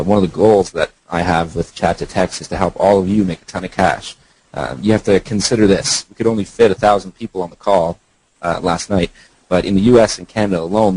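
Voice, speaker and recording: A man's voice: sits low, fairly even intonation, brisk, a little mellow, slightly rough, poor audio. A man speaking as an online marketer and co-founder on a phone conference call, informal and explanatory.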